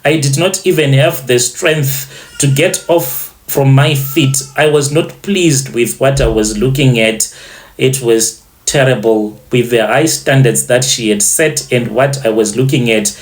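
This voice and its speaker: Male narrator for first-person dramatic tales, medium pitch, deliberate and theatrical, leaning on repetition for effect. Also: poor audio. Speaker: storyteller.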